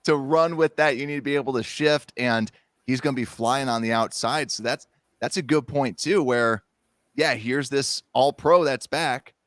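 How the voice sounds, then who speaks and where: A man's voice: medium pitch, a bit bright, no echo. Man, podcast guest, easygoing and agreeable, laughs often, dry close sound. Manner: agreeable, quick to affirm, laughs easily. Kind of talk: casual sports podcast chat.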